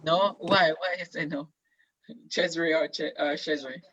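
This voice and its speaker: Brief: male teacher, bright and high-pitched, halting encouraging questions, dull dry video call sound. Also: fairly even intonation. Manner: halting and encouraging, many ums, slow prompting questions. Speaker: teacher leading a class discussion. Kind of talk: online classroom discussion.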